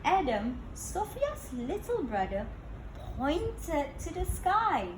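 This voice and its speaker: High sing-song lady reading a kids book, really animated, echoey room w noise. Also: takes it slowly. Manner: sing-song, addressing young listeners with questions. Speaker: children's read-aloud host. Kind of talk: storybook read-aloud for young children.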